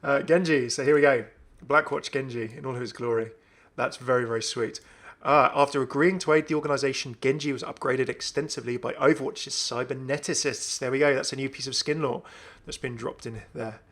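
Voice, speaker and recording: A man hosting a gaming video with casual, enthusiastic commentary in a creaky voice. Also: mid-range voice, fairly even intonation, fairly quick, fairly bright tone. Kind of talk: casual commentary on a game update.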